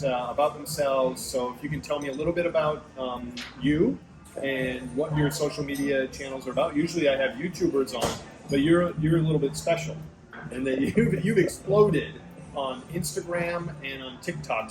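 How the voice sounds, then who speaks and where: A man's voice: a little high, a little fast. A man hosting a casual interview, asking questions and joking with his guest. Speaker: host. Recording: echoey room with music behind.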